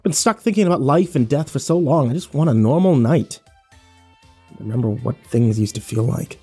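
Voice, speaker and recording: A man acts out a worn-out young survivor in a horror audio drama. His voice is mid-pitched and mellow, with an informal, sardonic delivery. Faint music runs underneath. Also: animated, normal speed, husky. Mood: deadpan, exasperated. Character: weary, sarcastic young man, survivor of a nightmare. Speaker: actor and narrator. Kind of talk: first-person audio drama narration and dialogue.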